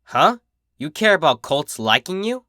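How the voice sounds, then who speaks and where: A brash cartoon pegasus mare voiced by a story narrator looks out for a younger one. The delivery is cocky, slangy and insistent, with lively pitch and a dry, close sound. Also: a little slow, no room sound. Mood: pushy, caring.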